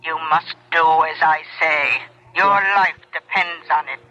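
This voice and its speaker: Anonymous male warner, urgent and grave, piercingly bright, vintage radio drama on lo-fi recording. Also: fairly high pitch, steady tone, measured pace. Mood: urgent warning. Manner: insistent, ominous. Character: mysterious, urgent anonymous male caller giving grave warnings. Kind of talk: 1970s radio drama acting. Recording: thin, lo-fi old radio recording.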